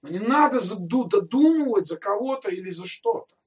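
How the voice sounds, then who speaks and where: A man preaching with a high-pitched voice, talking to his congregation as if in conversation and putting questions to them. He sounds some way off the microphone, so the voice is a little distant. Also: expressive, a little fast. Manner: conversational preaching, addressing listeners directly with rhetorical questions. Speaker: pastor. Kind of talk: sermon.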